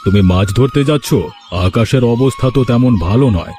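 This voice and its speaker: Man acting a quick-talking, mellow village husband. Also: medium pitch, soft background music, natural voice. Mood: concerned. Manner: chatty, coaxing, concerned. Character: village husband, a concerned fish buyer. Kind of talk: Bengali cartoon folk-tale acting.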